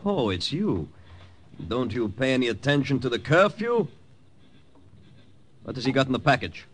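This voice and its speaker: An actor playing a suspicious, officious sentry with a brusque questioning manner in an old-time radio drama. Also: male voice, mid-range voice, big pitch swings, normal speed. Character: suspicious, officious enemy sentry on night watch. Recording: old radio recording, faint noise.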